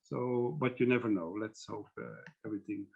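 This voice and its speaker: A man with a Flemish accent, a native Dutch speaker, giving an online academic lecture in a measured, thoughtful way that often trails off quietly. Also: lowish voice, vocal fry. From Belgium. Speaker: guest lecturer. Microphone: video call. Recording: muffled online call audio.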